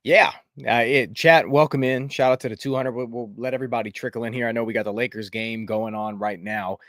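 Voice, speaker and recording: A man's voice: medium pitch, fairly even intonation, a little fast, fairly bright tone, no room sound, clean studio sound. Congested man hosting a casual sports stream. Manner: rambling, chatty, many fillers. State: congested, stuffy-nosed. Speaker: podcast host.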